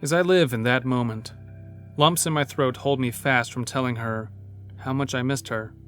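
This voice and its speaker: A man playing a weary, brooding character who narrates his own story in an introspective, measured way. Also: medium pitch, a bit bright, soft background music, close to an everyday voice. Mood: brooding, wistful. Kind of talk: first-person audio drama narration.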